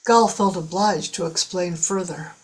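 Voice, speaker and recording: Woman narrating a sci-fi radio play, bit low, husky voice, reads it like a novel. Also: a little slow, rough recording. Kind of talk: scripted story narration.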